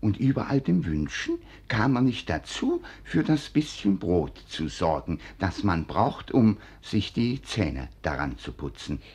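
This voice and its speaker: Doting old nobleman, low and very hoarse-edged, faltering, evasive, for vintage radio drama. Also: very animated, a little mellow, full character voice. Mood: flustered, coaxing. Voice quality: husky, gravelly old voice. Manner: stammering, sighing, slow, coaxing pet names. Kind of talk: 1940s German radio play acting. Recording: 1940s radio recording.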